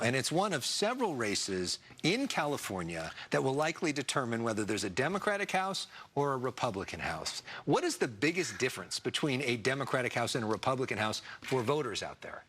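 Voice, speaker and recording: A man in his thirties, a news anchor asking brisk, direct questions in a TV studio. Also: medium pitch, sing-song, fairly bright tone, husky. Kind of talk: TV studio interview.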